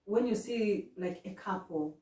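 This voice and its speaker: A woman's voice: mid-range voice, a little slow, warm tone. A young woman with a Kenyan accent, hosting a casual chat and giving earnest advice. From East Africa. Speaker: host. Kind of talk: casual chat, mixing English and Swahili. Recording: echoey room, voice far from mic.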